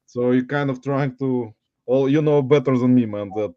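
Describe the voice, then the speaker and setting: A man's voice: medium pitch, no echo, dull audio. A man in his forties from Uzbekistan with a Russian accent, hosting a casual livestream chat and rambling to his viewers. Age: 40s. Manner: rambling, halting, addresses viewers as guys. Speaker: livestream host.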